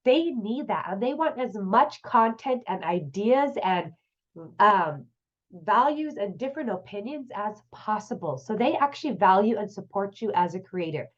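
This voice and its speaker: A woman's voice: medium pitch, animated, measured pace, lo-fi sound. Congested, nasal woman hosting tips. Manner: chatty, upbeat, talks straight to viewers. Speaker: host. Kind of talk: casual online business tips.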